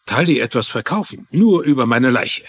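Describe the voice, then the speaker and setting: Older male executive, husky voice, swooping intonation, flustered, earnest, TV drama dub. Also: mid-range voice, expressive, normal speed, dry recording. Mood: flustered, evasive. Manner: hesitant, earnest. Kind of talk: dubbed TV drama dialogue.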